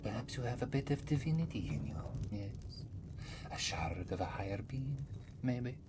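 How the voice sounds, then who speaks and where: A man voicing a mysterious, wry old cat-man, rambling in a breathy half-whisper for an ASMR fantasy roleplay. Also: medium pitch, lively intonation, close to an everyday voice. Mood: musing, curious. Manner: rambling, third-person speech, theatrical pauses. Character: mysterious old male Khajiit cat-man wanderer, wry, world-weary, teasing. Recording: music behind the voice. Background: prominent background music.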